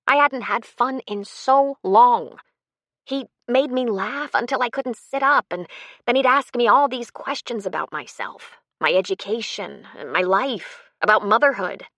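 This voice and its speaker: A woman's voice: a little high, a little slow, fairly bright tone, dry recording, clean studio sound, voice pushed up. A woman voicing an embarrassed, bitter older woman who confides defensively in an audiobook story. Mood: wistful.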